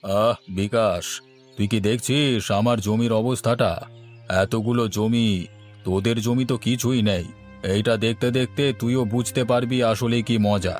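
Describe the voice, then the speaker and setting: A man's voice: medium pitch, fairly even intonation, normal speed, a little mellow, soft background music, natural voice. A man playing a scornful rich landlord. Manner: scornful, boastful. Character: arrogant wealthy male village landlord. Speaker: voice actor. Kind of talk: Bengali cartoon story acting.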